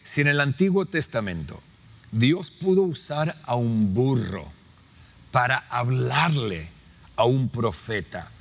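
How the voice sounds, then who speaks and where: A man's voice: mid-range voice, animated, slow pace, vocal fry. A man from Australia, a native English speaker, hosting a conversational interview in an encouraging, exhorting way. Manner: warm, encouraging, exhorting listeners. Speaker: podcast host.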